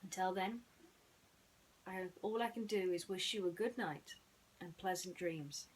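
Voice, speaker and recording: A woman hosting and narrating bedtime stories in a gentle storytelling style. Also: fairly low pitch, a little slow, slight background noise, rough recording.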